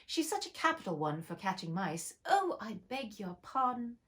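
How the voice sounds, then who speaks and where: Curious, well-mannered young girl, earnest and chatty, lively up-and-down delivery, read by a woman. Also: mid-range voice, normal speed. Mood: apologetic.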